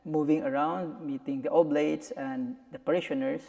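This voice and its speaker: A man's voice: on the high side, fairly even intonation, normal speed, creaky, a little mellow. A man in his forties with a Filipino accent, a priest chatting casually as an interview guest. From Philippines. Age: 40s. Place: echoey room in a church. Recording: echoey hall reverb.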